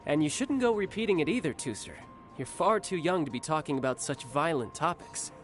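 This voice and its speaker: A young man voicing a confident warrior in a video game, smooth and playfully dismissive. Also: fairly high pitch, animated, brisk, close to an everyday voice. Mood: teasing. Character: cocky young male warrior, protective older brother. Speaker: game character. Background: game music.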